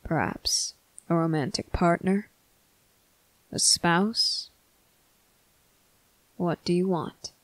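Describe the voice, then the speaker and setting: A performer voicing a stern but tender male vampire captain in an intimate ASMR roleplay, speaking deliberately in clipped phrases with pointed pauses. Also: mid-range voice, a bit bright, no echo, low-quality recording. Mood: probing, intimate. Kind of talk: ASMR roleplay addressed to the listener.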